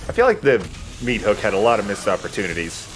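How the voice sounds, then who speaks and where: Guy w a lowish voice explaining game stuff casually, bit of background noise. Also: sing-song, fast talker. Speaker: let's play host. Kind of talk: casual gameplay commentary.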